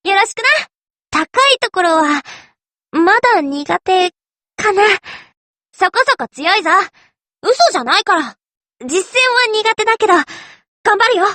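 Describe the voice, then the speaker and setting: High, bright, spirited tomboy girl. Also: animated, unhurried, long pauses, dry recording, full character voice. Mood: earnest, upbeat. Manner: short punchy lines, boyish blunt phrasing. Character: spirited tomboyish young girl fighter, earnest and eager. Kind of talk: Japanese anime game character voice lines.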